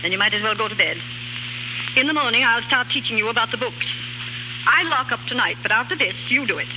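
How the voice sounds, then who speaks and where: An actress playing a gruff, bossy old aunt who keeps a shop, in 1930s radio drama style. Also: female voice, a little high, lively intonation, fast talker, close to an everyday voice. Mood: curt. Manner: brusque, scornful, bossy. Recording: muffled, hissy, crackling 1930s radio recording.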